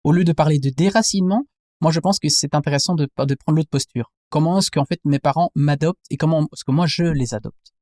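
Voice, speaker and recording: Higher-voiced, mellow young man. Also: brisk, dry recording, clean studio sound. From Belgium. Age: 20s. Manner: thoughtful, searching, reformulates as he goes. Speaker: interview guest, anthropologist. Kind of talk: reflective conversational interview.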